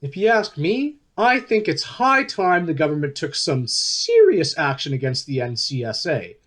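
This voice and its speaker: A middle-pitched voice with widely varying intonation. An actor plays a chatty, opinionated political interviewer in a radio play, steering the talk with leading, insinuating questions. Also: huge pitch swings, normal speed, a bit bright. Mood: pointed, lively. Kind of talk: conversational radio play acting.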